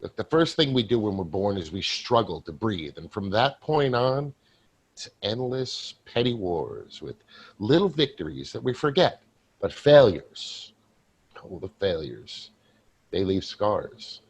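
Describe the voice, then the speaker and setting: Stage ghost, male actor: wry, world-weary office worker, husky, very animated delivery. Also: fairly low pitch, full character voice. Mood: weary, reflective. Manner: wry, halting, reflective. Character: apparition of dead male coworker, wry, weary, darkly joking. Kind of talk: staged play reading.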